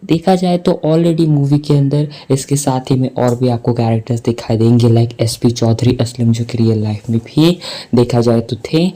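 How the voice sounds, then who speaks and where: A man's voice: medium pitch, mellow. A man with an Indian accent giving a film breakdown commentary in a wordy explainer manner, mixing in English words. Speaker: movie commentary YouTuber.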